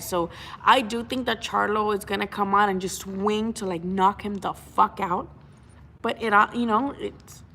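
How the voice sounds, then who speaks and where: A woman's voice: medium pitch, a bit bright. Young woman chatting live, frank and sweary, lots of restarts, bit of room hiss. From Mexico. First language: Spanish. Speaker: boxing channel host. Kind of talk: casual livestream chat.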